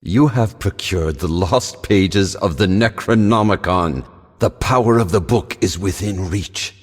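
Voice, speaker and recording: A scholarly professor guides survivors in a video game. His voice is deep and solemn, his wording formal, and every line hangs in a long reverb. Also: breathy edge. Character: grave, scholarly male professor guiding survivors. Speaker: game narrator. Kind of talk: scripted game narration.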